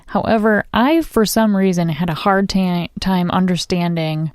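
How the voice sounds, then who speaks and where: A woman's voice: lowish voice, measured pace, a little mellow, dry recording, clean studio sound. A woman chatting candidly as a guest on a casual podcast.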